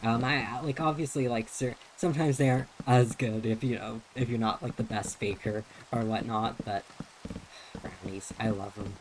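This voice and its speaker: A man's voice: mid-range voice, steady tone, moderate pace, noisy background. A young man streaming a game, chatting casually in a neutral, feminine-leaning voice, rambling with many restarts and fillers. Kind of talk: casual live-stream chat while playing.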